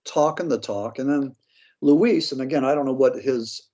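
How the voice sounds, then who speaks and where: A man's voice: medium pitch, moderate pace, warm tone, lo-fi sound. An American man talking casually as an interview guest, blunt, skeptical and slangy in his opinions. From Pennsylvania, United States. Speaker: interview guest, paranormal author.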